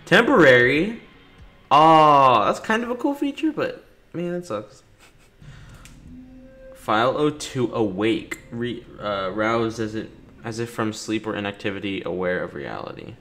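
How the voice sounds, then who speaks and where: A man's voice: medium pitch, expressive, unhurried, sharp tone. A man giving casual, joking playthrough commentary, reacting to characters and reading captions aloud as host. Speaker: gaming video host. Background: video game music and sound.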